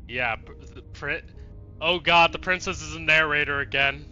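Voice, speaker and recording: A male game streamer with a very bright, fairly high voice gives casual let's play commentary. He is irreverent and sweary, reacting freely, with faint music behind him. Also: moderate pace, muffled recording.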